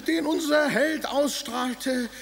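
An actor playing a bombastic flattering swindler in a radio drama, declaiming heroic legends haltingly with pompous, drawn-out words. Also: male voice, extremely high pitch, creaky, crowd noise, heavily acted. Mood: boastful, scornful. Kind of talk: theatrical radio drama acting.

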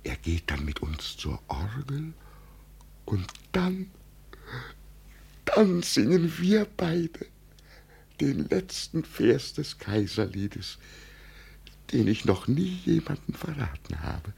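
Old self-styled emperor, creaky voice, wide swings of pitch, tremulous grandeur, 1950 radio play. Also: male voice, wildly swooping pitch, slow pace, long pauses, darkish tone, full character voice. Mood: solemn, moved. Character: deluded old father who believes himself an emperor. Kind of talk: 1950 German radio drama acting. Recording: noisy vintage 1950 radio recording.

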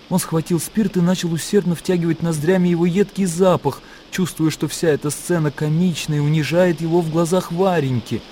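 Narrator: man, soft dark tone, slightly raised pitch, scripted literary storytelling. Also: a little hiss or hum.

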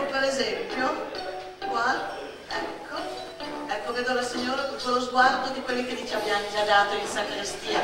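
Woman playing a pompous altar boy, very echoey. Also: sits high. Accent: northern Italian. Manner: fussy, dignified, halting interjections. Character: pompous, self-important young male altar server. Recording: echoey hall, distant mic, music behind.

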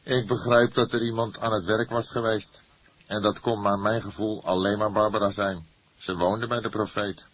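A man's voice: fairly low pitch, flat delivery, normal speed, fairly bright tone, slightly rough, dry recording, voice pushed up. A man voicing an agitated, defiant young murder suspect in an audiobook, speaking emphatically and with emotion. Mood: tense, insistent. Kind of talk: acted audiobook dialogue.

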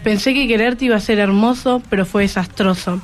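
Mid-pitched Argentine teenage student on radio. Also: female voice, brisk, faint music behind. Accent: Rioplatense Argentine. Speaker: student guest on school radio show.

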